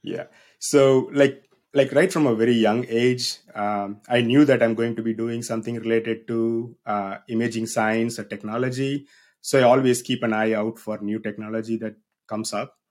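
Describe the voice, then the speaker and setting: A man's voice: medium pitch, level tone, moderate pace, a little mellow. A man in his thirties from South India with a South Indian accent, telling his story in an easygoing, lightly humorous way as a podcast guest. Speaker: guest, business owner and university researcher. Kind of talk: casual podcast interview.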